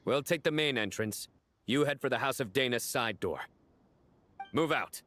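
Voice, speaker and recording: A man's voice: medium pitch, animated, normal speed, a bit bright, slight background noise, lightly acted. An actor voicing a serious male game commander with a clipped, stern manner. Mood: commanding. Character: terse, serious male commander giving battle orders. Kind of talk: game voice acting.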